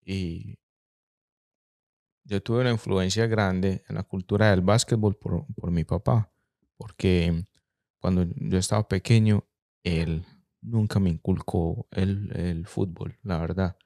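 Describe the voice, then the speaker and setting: A man from Colombia with a Medellín Spanish accent, telling stories in a relaxed, reflective way during a casual chat with frequent restarts. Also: deep voice, slow pace, creaky, no room sound, studio quality.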